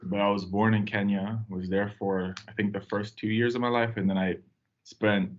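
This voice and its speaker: A young man from Tanzania chats as a podcast guest, wandering through his story with plenty of fillers. His voice sits a little low. The recording sounds dull and lo-fi. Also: level tone, moderate pace. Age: young adult. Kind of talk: casual podcast chat.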